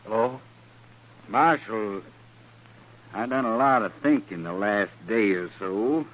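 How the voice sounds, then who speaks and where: A man's voice: fairly low pitch, takes it slowly, fairly bright tone. A man acting a smug, falsely agreeable cattle boss with an in a 1950s radio drama. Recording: noisy old radio recording.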